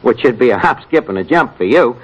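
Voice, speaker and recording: Wisecracking New York tavern manager, swooping delivery, muffled vintage radio comedy. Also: male voice, medium pitch, animated, fairly quick, slightly rough. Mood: mock-serious. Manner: wisecracking, mangles grammar and sayings. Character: Archie, wisecracking uneducated tavern manager, folksy philosopher. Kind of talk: 1940s radio comedy acting. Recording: muffled, noisy 1940s radio with audience.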